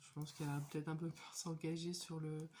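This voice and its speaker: A woman in her thirties with a cold, chatting casually and hesitantly about her crafting hobby, with many pauses and fillers. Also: deep voice, flat delivery, dark tone, a little hiss or hum. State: has a cold. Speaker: hobby vlogger. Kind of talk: casual show-and-tell chat.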